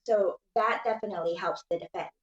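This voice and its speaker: A woman giving measured, explanatory commentary on a case as a legal analyst on a panel. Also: medium pitch, reverberant, muffled recording.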